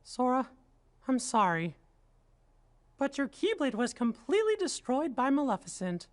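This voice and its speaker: A man voicing a small cartoon mouse king in a video game, with a squeaky falsetto and an earnest, gentle, reassuring manner. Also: sing-song, measured pace, full character voice. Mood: apologetic.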